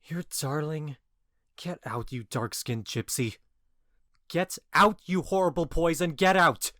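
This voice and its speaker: A man's voice: a little high, lively intonation, a little slow, pushed and bright, no room sound, studio quality. Man acting scornful, impetuous young lover. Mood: disgusted, angry. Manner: scornful, vehement, declamatory. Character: bewitched, cruel, impetuous young male lover. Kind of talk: modernized Shakespeare audio drama acting.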